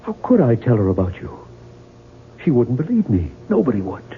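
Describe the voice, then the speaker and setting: A man's voice: mid-range voice, wildly swooping pitch, moderate pace. A weary, anguished male novelist in a radio mystery drama. He pleads earnestly and emphatically in a middling register. The sound is narrow and faintly noisy, like a 1970s broadcast. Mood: weary, reluctant. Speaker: actor.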